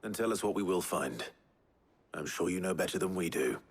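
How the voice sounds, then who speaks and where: An actor playing a determined, brooding warrior leader in a video game, with a British accent and a terse, grave manner. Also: male voice, low-pitched, animated, brisk, heavily acted. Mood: grave resolve. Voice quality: husky, gravelly. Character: determined, brooding male warrior leader, reckless but steady. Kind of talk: video game cutscene acting.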